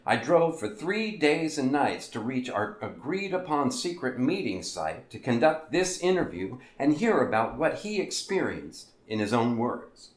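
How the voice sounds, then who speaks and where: In a roomy, echoing space, a man plays a quirky show host for an audio drama. His pitch swings freely as he wisecracks in a folksy, theatrical way. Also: sing-song, a little slow, few pauses. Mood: playful, hyped. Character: quirky, jokey male host of a weird-tales show. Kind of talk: scripted podcast drama in a radio-show format.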